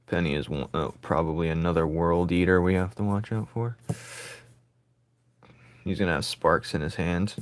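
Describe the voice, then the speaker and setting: Raspy man, low register, casual live-drawing streamer who rambles to himself and his viewers. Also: steady tone, moderate pace, long pauses, no echo. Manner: rambling, thinking aloud, joking with viewers.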